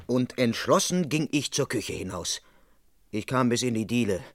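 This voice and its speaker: A man's voice: medium pitch, lively intonation, normal speed, close to an everyday voice. An actor playing an exasperated, dogged police constable who investigates and narrates his case in a radio crime play. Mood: determined narration. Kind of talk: German radio crime play, dialogue and first-person narration.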